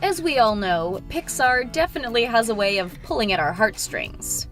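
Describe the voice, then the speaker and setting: A woman's voice: fairly high pitch, animated, normal speed, a bit bright, faint music behind. A woman reading scripted narration for an entertainment countdown video.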